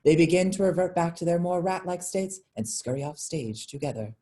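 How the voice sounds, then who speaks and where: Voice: mid-range voice, animated, a little fast, mellow. A narrator reading scripted stage directions for a staged play reading.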